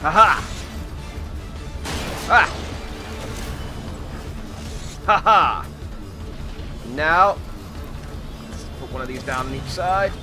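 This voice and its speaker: A man's voice: a little high, expressive, slow. Guy doing a bumbling boastful aussie survival guy, wobbly accent, rambly fake tips over gameplay, music going behind him. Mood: flustered boasting. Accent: put-on wandering Australian. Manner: hesitant, rambling, mock-instructional. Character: bumbling, boastful Australian outdoor survival expert. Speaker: gameplay commentator. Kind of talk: improvised in-character comedic game commentary.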